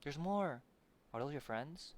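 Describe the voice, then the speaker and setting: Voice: medium pitch, lively intonation, measured pace, heavy background noise. A game streamer giving casual live playthrough commentary in short, murmured reactions and remarks. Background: game music.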